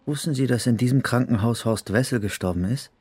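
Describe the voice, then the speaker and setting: An actor playing a thuggish, spiteful wounded Nazi tough in a German radio drama, speaking gruffly and contemptuously. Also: male voice, mid-range voice, brisk, darkish tone, lightly acted. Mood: sullen, spiteful.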